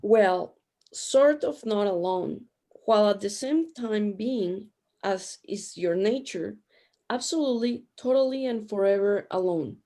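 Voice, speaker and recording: A woman playing a serene otherworldly companion in a radio play, measured and solemn. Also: medium pitch, expressive, dark tone.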